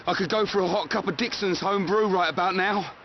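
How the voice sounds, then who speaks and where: A man's voice: sits high, moderate pace, lo-fi sound. A man playing a down-to-earth, wry, hardy adventurer in a video game, casual and sardonic. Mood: wry, grumbling. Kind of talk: video game cutscene acting.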